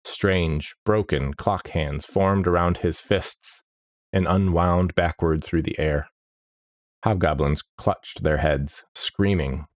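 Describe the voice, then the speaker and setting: A man narrating a dark fantasy story in a measured, grave manner. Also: deep voice, no echo, clean studio sound. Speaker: audiobook narrator.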